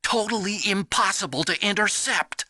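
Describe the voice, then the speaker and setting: An actor playing a nerdy, excitable computer hacker in a video game, earnest and eager as he explains things. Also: high-pitched, moderate pace, dry recording, clean studio sound, exaggerated voice. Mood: urgent, explanatory. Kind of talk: dramatic video game voice acting.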